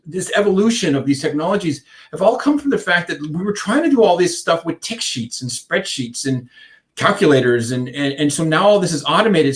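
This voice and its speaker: A man in his fifties from Iceland, a contact centre consultant answering interview questions in a rambling way, full of restarts and fillers. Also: fairly high pitch.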